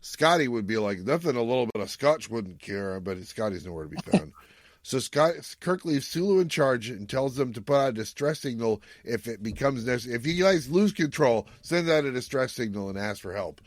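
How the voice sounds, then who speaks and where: A man's voice: medium pitch, expressive, fairly quick, dry recording. A man hosting a podcast, casually recapping an episode and jokily paraphrasing its dialogue. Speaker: podcast host.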